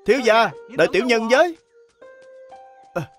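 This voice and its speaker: An actor playing a young male servant in an animated drama, deferential, eager and given to whining. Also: medium pitch, moderate pace, faint music behind, lightly acted. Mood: puzzled. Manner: deferential, whiny, exclamatory. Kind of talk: animated drama dub acting.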